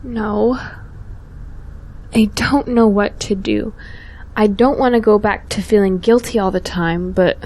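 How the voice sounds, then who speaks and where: A woman's voice: medium pitch, unhurried, pauses often, darkish tone, close to an everyday voice. Woman acting as a devout, earnest young believer. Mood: troubled, unsure. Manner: earnest, morally insistent. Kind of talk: audio drama dialogue.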